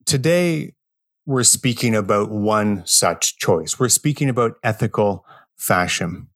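A man hosting an interview podcast, speaking in a measured, deliberate way. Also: fairly low pitch, expressive, dry recording, clean studio sound. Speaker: podcast host interviewing a guest. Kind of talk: podcast introduction and conversational interview.

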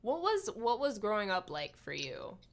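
A woman's voice: mid-range voice, huge pitch swings, normal speed. A woman hosting a podcast interview in a casual, chatty way, often saying "like" as she goes. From Hawaii. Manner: informal, chatty, frequent "like". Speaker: podcast host interviewing a guest.

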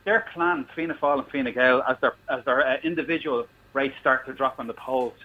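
A man's voice: medium pitch, fairly quick. An Irish man calling in to a radio show with a blunt, heated political rant, swearing as he goes. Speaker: caller. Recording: dull phone line with faint noise.

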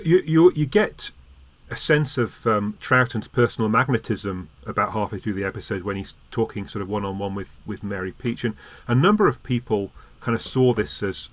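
A fairly low-voiced man talking on a podcast. He stumbles through repeated starts before landing on well-chosen phrases. His delivery is conversational and considered. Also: fairly bright tone. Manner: stammering restarts, articulate and appreciative. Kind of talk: casual podcast discussion.

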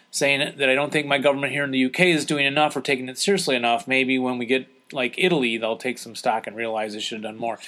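A man's voice: medium pitch, a bit bright. A man hosting a podcast in a casual, conversational way, chatting and reading out listener letters with some hesitations. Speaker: podcast host.

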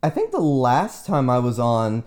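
A man's voice: mid-range voice, huge pitch swings, unhurried, a little mellow. A man in his late twenties, a teacher guesting on a podcast, chatting casually with lots of fillers and restarts. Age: 20s. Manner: rambling, self-deprecating, frequent restarts and fillers.

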